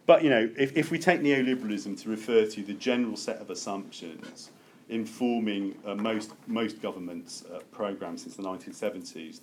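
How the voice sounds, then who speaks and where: Low-voiced male lecturer thinking aloud, noisy room. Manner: halting, self-correcting, thinking aloud. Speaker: lecturer leading a seminar. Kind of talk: academic lecture with open discussion. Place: seminar room with a group. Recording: noticeable background room noise.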